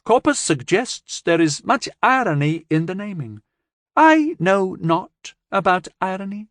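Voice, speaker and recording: A childlike young alien creature with a high, lifted voice that speaks in careful, broken formal phrases, as a male narrator puts her on. The delivery is slow and earnest, and the sound is dry and close. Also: big pitch swings, a bit bright, no room sound, exaggerated voice.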